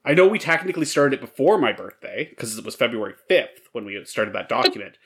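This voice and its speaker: Animated man chatting on a podcast. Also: mid-range voice, quick pace, slightly rough. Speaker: podcast co-host. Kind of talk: casual podcast chat.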